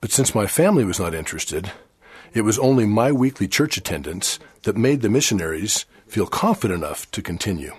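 Older man, husky voice, lowish pitch, from Chile, earnest sermon delivery. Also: big pitch swings, brisk, a little mellow. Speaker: church speaker. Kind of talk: formal sermon.

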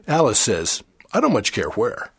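An older man from Chile giving a formal church sermon in a measured, earnest way. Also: mid-range voice, wildly swooping pitch, slightly rough. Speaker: church speaker.

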